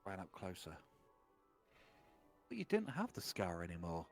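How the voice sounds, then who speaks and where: A man's voice: sits low, wildly swooping pitch, normal speed, darkish tone. A man doing a comedic dramatic reading of fan fiction on a livestream, theatrical and mock-serious. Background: faint music.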